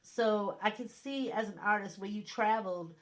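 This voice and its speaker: A chatty woman hosting a radio interview, talking in a loose, rambling way with a northern US accent. Her voice sits in a middle pitch, and she often checks in with her listener. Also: normal speed. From northern United States. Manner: chatty, rambling, frequent "you know what I mean". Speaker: radio show host. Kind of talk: casual interview chat.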